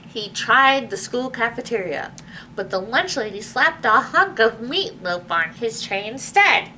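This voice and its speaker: Bright, animated woman reading a picture book. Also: a little high, a little slow. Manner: expressive, addressing young children with asides. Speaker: librarian hosting children's story time. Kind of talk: children's picture book read-aloud. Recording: distant mic with faint background noise.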